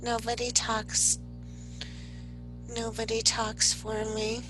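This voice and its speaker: A woman speaking as a hypnosis client, giving earnest affirmations and halting short answers, with music behind her. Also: fairly high pitch, monotone, rough recording. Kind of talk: trance-like answers and spoken affirmations.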